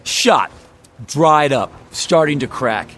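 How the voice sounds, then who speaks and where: A man's voice: fairly high pitch, expressive, takes it slowly, a bit bright, a little hiss or hum. An actor playing a weary, earnest used car salesman in a radio drama, with a hesitant, folksy way of talking. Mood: reluctant, frank. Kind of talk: old-time radio drama acting.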